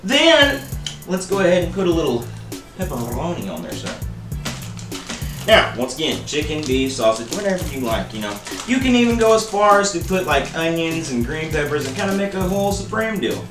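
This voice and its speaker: A man's voice: a little high, very animated, normal speed. Playful man hosting a home cooking video. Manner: playful, jokey, calls viewers baby and buddy. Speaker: cooking show host. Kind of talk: casual cooking demonstration. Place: home kitchen, indoors. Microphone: camera mic from a few feet away. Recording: roomy kitchen with music behind.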